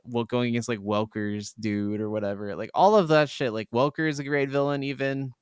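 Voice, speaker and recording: A man with a clear, smooth voice, slightly low in the middle range, talks casually as a podcast co-host. He rambles emphatically and swears freely, and the sound is close and dry. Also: steady tone, fairly quick, no echo. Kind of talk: casual chat about video games.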